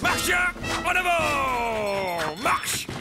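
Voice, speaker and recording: A man's voice: extremely high pitch, big pitch swings, drawn-out words, heavily acted. A man voicing a pompous, exasperated Martian army commander in a cartoon, barking theatrical drill orders. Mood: exasperated, commanding. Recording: loud music under the voice.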